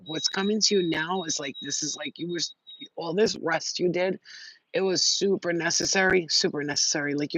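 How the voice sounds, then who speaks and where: A man talks loosely to his live-stream viewers, giving them spiritual readings. He drifts from story to story in a rambling, excitable way and swears freely. His voice sits a little higher than most men's. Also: no echo, rough recording. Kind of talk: casual live-stream chat and intuitive reading. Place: outdoors near trees.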